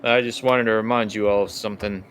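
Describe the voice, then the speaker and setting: A man's voice: fairly low pitch, fairly even intonation, fast talker, fairly bright tone, slight background noise, close to an everyday voice. A man voicing a menacing, suspicious, drunk gangster in a tabletop game.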